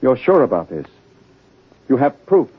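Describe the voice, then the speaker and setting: An actor playing an earnest, indignant doctor hero in an old-time sci-fi radio drama, speaking in a clipped, insistent way. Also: male voice, mid-range voice, very animated, unhurried, husky. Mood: probing concern. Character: urgent, indignant galactic citizen, doctor hero. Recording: tinny, hissy vintage radio recording.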